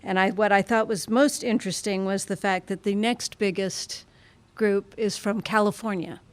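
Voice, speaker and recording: A woman with an average-pitched voice gives an informal report at a club meeting. She speaks into a microphone, tentatively and with halting phrasing. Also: fairly even intonation, dry recording. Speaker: club member giving a report.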